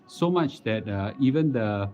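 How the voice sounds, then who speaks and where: A middle-aged man with a Singaporean accent chatting casually as a livestream host, halting and repeating words as he goes. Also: fairly low pitch, expressive, darkish tone. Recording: dull lo-fi live stream audio.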